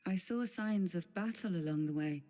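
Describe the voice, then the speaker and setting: A composed, watchful woman adventurer in a game, played by an actress. Her voice is lowish and mellow, and she speaks in a probing way over faint music. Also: moderate pace, lightly acted. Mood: calm, wary. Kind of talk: video game voice acting.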